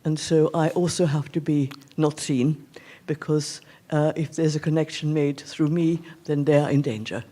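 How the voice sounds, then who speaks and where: A man giving a reflective, earnest speech at a community event, speaking haltingly in an indoor hall. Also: on the high side, steady tone, dark tone. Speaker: guest speaker.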